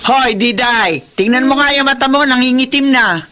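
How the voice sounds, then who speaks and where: A woman's voice: high-pitched, normal speed, bright, forceful tone, a little hiss or hum. A woman playing a scolding, exasperated mother of grown children in a Filipino radio drama, blunt and nagging, with a Filipino accent.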